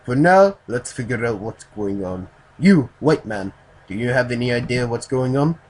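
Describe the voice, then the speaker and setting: Man voicing a blunt, gruff take-charge Russian man. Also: mid-range voice, expressive, moderate pace, natural voice. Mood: urgent. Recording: clearly noisy home recording.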